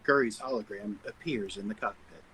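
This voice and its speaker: A man's voice: fairly low pitch, fairly quick, sharp tone, husky, poor audio. A man reading stage directions as the narrator of a scripted table read.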